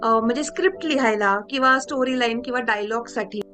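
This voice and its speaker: A woman's voice: on the high side, fairly quick, pushed and bright. A woman interviewer, asking questions in an encouraging way and mixing in English phrases. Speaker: interviewer host.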